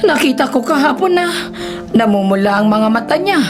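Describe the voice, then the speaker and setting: A woman's voice: fairly high pitch, animated, fairly quick, lightly acted. A Filipino woman playing a worried, caring nanny in a radio drama, speaking in an anxious, coaxing way. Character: worried, caring adult female nanny of the household. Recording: loud music behind dramatized dialogue.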